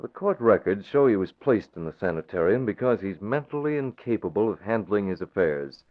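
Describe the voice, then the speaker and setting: An actor playing a calm, measured newspaper publisher who presses with pointed questions, in 1940s radio acting style. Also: male voice, on the low side, lightly acted. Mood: probing. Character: cool, probing male newspaper publisher. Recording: tinny phone-like old radio recording.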